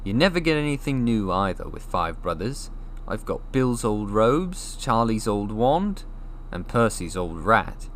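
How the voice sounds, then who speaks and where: A man voicing a glum, animated young boy wizard. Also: lowish voice, unhurried, a little hiss or hum. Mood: gloomy. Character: young boy wizard, glum, self-deprecating, eager to impress.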